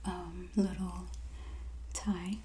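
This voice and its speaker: ASMR merchant role: woman, dark mellow tone, soft breathy near-whisper, very unhurried, gentle presenting. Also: lowish voice, level tone, slight background noise. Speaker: ASMR host playing a wine and spirits merchant. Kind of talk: soft-spoken ASMR roleplay, product showcase.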